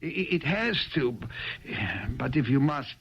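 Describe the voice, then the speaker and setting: An actor playing a meek, poor little clerk, pleading and hesitant in a radio drama. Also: male voice, on the high side, animated, takes it slowly, vocal fry, a little hiss or hum, heavily acted. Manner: timid, hesitant, stammering. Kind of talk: 1970s radio drama acting. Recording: dull, lo-fi 1970s radio recording.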